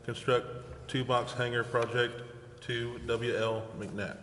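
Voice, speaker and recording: A man's voice: medium pitch, little pitch movement, takes it slowly, fairly bright tone. A man chairing a council meeting, formally reading out agenda items in a procedural, official way. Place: indoor council chamber. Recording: echoey council chamber, faint noise.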